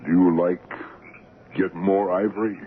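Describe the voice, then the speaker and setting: An actor playing a shrewd, servile trading-post clerk in the Congo, speaking clipped broken English with cool deference in a 1970s radio drama. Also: male voice, deep voice, fairly even intonation, unhurried. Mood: sly, coaxing. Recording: noisy, tinny 1970s radio broadcast.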